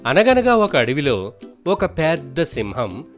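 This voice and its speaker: A man's voice: on the high side, wildly swooping pitch, slow pace, soft background music. A man narrating scripted moral stories for children as a storyteller.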